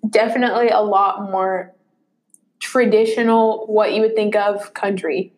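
A woman from Tennessee with a Southern accent co-hosts a casual podcast chat. Her tone is wry and self-mocking. Her voice has a middle pitch for a woman. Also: steady tone, unhurried, a little mellow. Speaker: podcast co-host.

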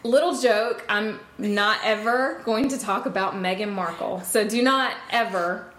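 A woman's voice: mid-range voice, measured pace, fairly bright tone. A woman in her thirties from the Carolinas with a Southern accent, co-hosting a casual chat podcast in a rambling, laughing, slightly nervous way. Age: 30s. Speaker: podcast co-host. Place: home room without padding. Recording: echoey room with faint noise.

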